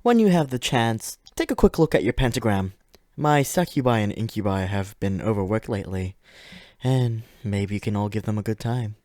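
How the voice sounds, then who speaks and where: A man playing a suave, high-ranking demon in an audio drama, theatrical, courteous and faintly condescending. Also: expressive, moderate pace, a little mellow, no room sound, clean studio sound, natural voice. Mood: flustered, courteous. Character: suave male demon of high rank, flamboyant, courteous, menacing. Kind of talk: fiction podcast audio drama acting.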